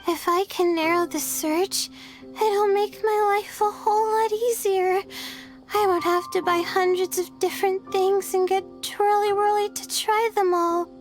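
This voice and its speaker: A woman voicing a sleepy, overworked young female student in an animated game, mild, weary and earnest. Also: extremely high pitch, measured pace, faint music behind.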